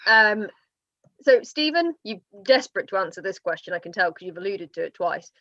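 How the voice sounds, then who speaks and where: A lively woman hosts an online question session and ribs her guest as she goes. Her voice sounds dull and lo-fi over the video call, in a dry, close room with no echo. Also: mid-range voice, fairly quick. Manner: teasing, lively, reads out audience questions. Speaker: interviewer and host. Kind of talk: hosting an online Q&A.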